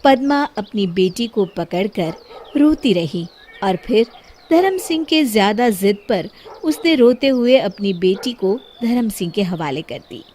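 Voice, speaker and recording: A woman's voice: medium pitch, lively intonation, unhurried, a little mellow, a little hiss or hum. A woman reading a scripted children's story as its narrator.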